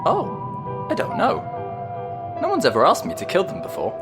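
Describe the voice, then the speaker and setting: A man's voice: high-pitched, huge pitch swings, moderate pace, loud music behind the voice, full character voice. An actor playing a polite, slightly nervous male ghost in an audio drama, chatting in mild understatement. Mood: eager, uncertain. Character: friendly, nervous, polite male ghost, matter-of-fact about danger.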